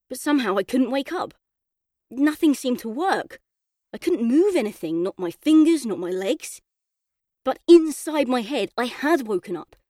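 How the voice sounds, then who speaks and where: Boy narrator for a children's audiobook, read by a woman. Medium-pitched, cheeky but thoughtful, expressive, dry recording. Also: dry recording, natural voice. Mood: urgent, tense. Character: young football-loving boy narrator, thoughtful, cheeky, trapped in coma. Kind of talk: first-person audiobook storytelling.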